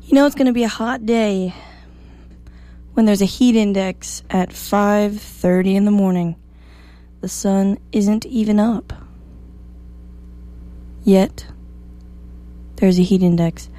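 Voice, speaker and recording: A woman hosting a morning radio show, slightly hoarse, chatty and upbeat as she reads the weather. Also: medium pitch, steady tone, takes it slowly, pauses often, dark tone, no room sound. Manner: chatty, upbeat, self-joking. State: a little hoarse.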